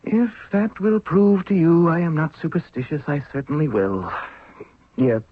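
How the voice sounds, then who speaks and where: A man's voice: a little high, normal speed, husky. An actor playing a proud young male pharaoh, regal and formal, in a 1970s radio drama. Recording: narrow, tinny 1970s radio recording.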